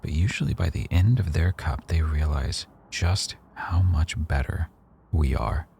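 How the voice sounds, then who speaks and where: A man playing a rough-edged mafia boss who owns a cafe, teasing, probing and quietly threatening, in a boyfriend ASMR roleplay. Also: a bass voice, measured pace, a little hiss or hum, full character voice. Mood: playful, proud. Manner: teasing, probing, smugly self-assured.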